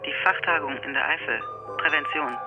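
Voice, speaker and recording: Insistent policewoman over loud music, played by an actress. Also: low voice, fairly quick, natural voice, poor audio. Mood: urgent. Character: insistent female federal police officer calling a colleague. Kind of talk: radio crime drama dialogue.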